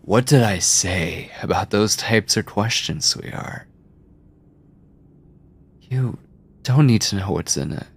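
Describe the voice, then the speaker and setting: A man playing an obsessive mad scientist captor in a horror ASMR roleplay, coldly doting and clinical, with condescending endearments, theatrical pauses and a breathy, husky voice. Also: fairly low pitch, animated, heavily acted. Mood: controlling, menacing. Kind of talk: horror ASMR roleplay, intimate monologue to listener.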